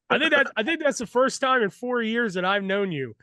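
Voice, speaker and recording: A man's voice: very high-pitched, lively intonation, normal speed, bright, forceful tone, no echo, clean studio sound. A man hosting a sports show, interviewing a guest in a casual, chatty way.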